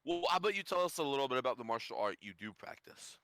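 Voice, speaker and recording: A young man with a bright, sharp voice hosts a joking podcast chat, asking questions in a mock-formal, teasing way. His pitch jumps around a lot, and the recording sounds close and dry, with no room sound. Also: very animated, fast, no room sound. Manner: playful, mock-formal interviewer. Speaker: podcast co-host.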